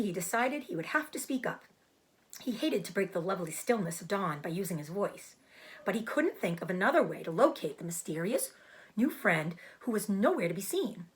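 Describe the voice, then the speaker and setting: Woman reading a storybook with lively intonation. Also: medium pitch. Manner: expressive, explains hard words. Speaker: teacher reading aloud. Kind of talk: storybook read-aloud narration.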